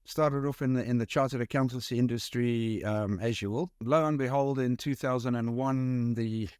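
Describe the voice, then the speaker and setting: A middle-aged man with a South African accent talks through his work in a relaxed, halting way, full of fillers and restarts. His voice sits at an ordinary pitch and is recorded close and dry, with no room sound around it. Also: clear, resonant tone, no room sound. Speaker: business consultant guest. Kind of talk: casual interview answers.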